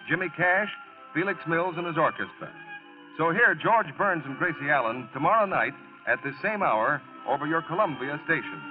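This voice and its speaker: A man reading scripted announcements in a 1940s radio announcer style. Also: a little high. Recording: vintage radio broadcast, narrow band. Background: music.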